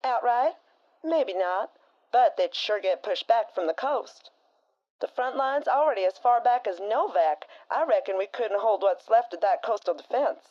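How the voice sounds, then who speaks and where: A woman's voice: a little high, expressive, moderate pace, darkish tone, highs cut off, natural voice. A woman playing a blunt, folksy frontier woman who talks with a drawl and slang as a radio co-host in a fan audio drama.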